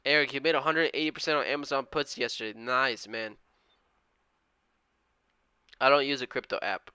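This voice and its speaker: Bright, crisp-voiced young streamer guy. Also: mid-range voice, steady tone, moderate pace, no room sound, studio quality. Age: 20s. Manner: upbeat and friendly, slangy, thanks viewers often. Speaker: live-stream day trader. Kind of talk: casual live-stream chat, reading viewer comments.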